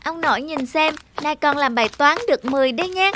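A woman's voice: high voice, lively intonation, fast talker, background noise. A woman voicing an earnest, affectionate young granddaughter in a cartoon dub. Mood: eager. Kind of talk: cartoon dubbing. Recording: music behind the voice.

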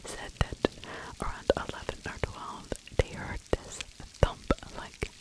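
A middle-aged woman telling a story in a breathy ASMR whisper, halting and conversational, very close to the microphone. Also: poor audio. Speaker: ASMR true crime storyteller. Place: small indoor room. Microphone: large furry-windscreened mic, held very close to the mouth.

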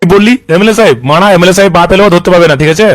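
A man's voice: high voice, rapid-fire, no room sound, close to an everyday voice. A man voicing a corrupt, cocky police officer in a comic cartoon, coarse and glibly reassuring. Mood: cocky, conspiratorial. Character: corrupt, cocky, foul-mouthed male police officer, slippery. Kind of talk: comic cartoon dub dialogue.

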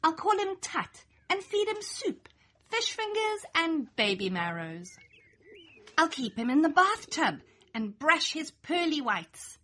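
A young adult woman reads a rhyming picture book aloud, her voice high and bright with a slightly breathy edge. She takes her time, letting her pitch swing widely and playfully through each line. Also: unhurried. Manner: lively, playful, sing-song storytelling. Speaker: storybook reader. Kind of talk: expressive read-aloud children's story in rhyme.

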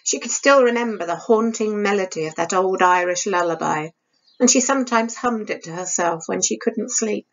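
A woman's voice: mid-range voice, moderate pace, highs cut off. Older woman narrating a story. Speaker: author reading her novel. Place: small room indoors.

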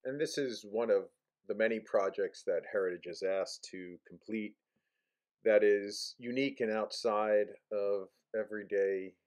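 A man in his thirties narrating a restoration project for his company in a steady, explanatory way, with many filler sounds. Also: low voice.

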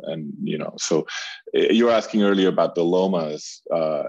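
A man's voice: low-pitched, normal speed, a little mellow, no echo, muffled recording. A man from Colombia, a furniture designer talking casually as an interview guest, rambling with many fillers and restarts.

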